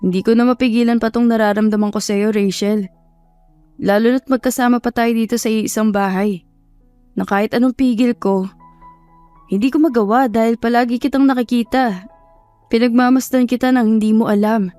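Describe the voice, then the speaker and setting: A woman's voice: fairly high pitch, steady tone, normal speed, warm tone, no echo, faint music behind, close to an everyday voice. A woman voicing a humble, heartfelt young man in love in a dramatized audio romance. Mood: sad, confessing. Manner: heartfelt, pleading. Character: earnest, humble poor young man, lovestruck and hurting.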